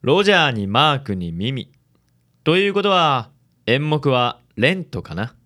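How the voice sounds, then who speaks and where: A man's voice: mid-range voice, very animated, a little slow, bright, forceful tone. A man voicing a suave, genteel businessman in a radio drama. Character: smooth, suave handsome businessman.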